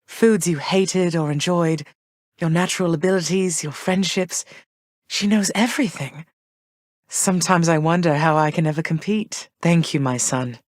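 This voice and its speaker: A woman voicing a gentle, thoughtful goddess queen and mother for a video game, speaking in a soft, measured, slightly formal way. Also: on the low side, no room sound, studio quality, natural voice. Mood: tender. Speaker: voice actress for a video game character. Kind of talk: recorded game voice lines.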